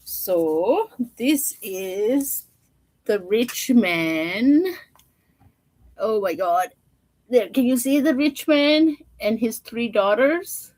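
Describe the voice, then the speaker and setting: A woman's voice: fairly high pitch, expressive, drawn-out words, a little mellow, poor audio. A woman storyteller reading a children's picture book aloud, with informal, chatty asides to her listeners.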